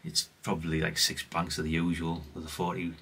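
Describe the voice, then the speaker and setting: Low, bright-voiced British man, rambling. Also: monotone, brisk, gravelly, breathy edge. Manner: rambling, self-deprecating, mildly sweary. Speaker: hobbyist radio repair presenter. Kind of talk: casual unscripted hands-on demonstration.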